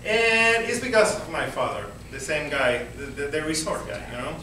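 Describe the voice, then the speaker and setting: A man's voice: fairly high pitch, very animated, moderate pace, pushed and bright. A young man from Venezuela with a Spanish accent, performing a self-deprecating stand-up set and laughing at his own jokes. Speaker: stand-up comedian. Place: comedy club stage. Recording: echoey club taped from far off, noisy crowd.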